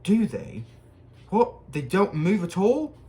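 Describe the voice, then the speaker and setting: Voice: mid-range voice, expressive, moderate pace, close to an everyday voice. A reader voicing a casual, blunt young schoolboy in a storybook, close to the reader's own voice. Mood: offhand.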